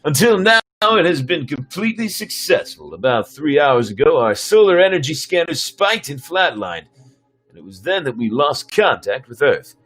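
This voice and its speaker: A man's voice: a little high, big pitch swings, normal speed, a bit bright, soft background music, low-quality recording. A man playing a stern, sardonic starship captain with a dry, commanding manner in a sci-fi story reading. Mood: formal.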